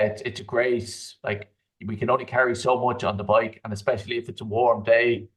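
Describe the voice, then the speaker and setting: A man who is a triathlete and guest coach, giving relaxed advice full of "like" and "um". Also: on the low side, recorded from a distance, low-quality recording. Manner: relaxed, fillers like "like" and "um". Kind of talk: casual advice chat.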